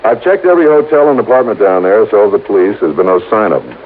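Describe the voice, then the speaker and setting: An actor playing a brisk, informal insurance man with slangy, emphatic talk in an old radio drama. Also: on the low side, lively intonation, close to an everyday voice. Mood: emphatic, informative. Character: informal, brisk male insurance colleague briefing an investigator. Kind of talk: 1950s radio drama acting. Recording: muffled, faintly noisy vintage radio.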